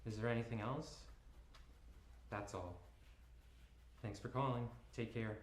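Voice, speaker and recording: Middle-aged father, read by a man: calm, polite, measured; echoey room. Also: fairly low pitch, slight background noise, natural voice.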